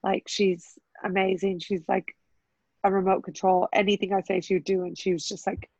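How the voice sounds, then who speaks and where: A woman from the United States, a fight coach, talking casually in an interview with a very loud, carrying voice and animated bursts of cheering. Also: mid-range voice, normal speed, vocal fry, husky, no room sound, highs cut off. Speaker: Muay Thai coach.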